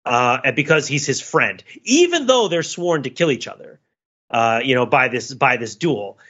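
A man's voice: mid-range voice, very animated, normal speed, fairly bright tone, lo-fi sound. A man co-hosting a podcast, talking through a film in a casual, rambling, analytical way. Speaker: podcast co-host.

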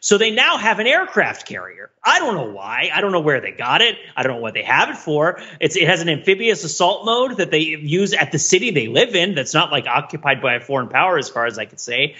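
A man with a forceful, bright voice that sits a little high, co-hosting a casual film discussion. He rambles and digresses in an animated, wryly analytical way. The audio is slightly dull and lo-fi. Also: a little fast, few pauses. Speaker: podcast co-host.

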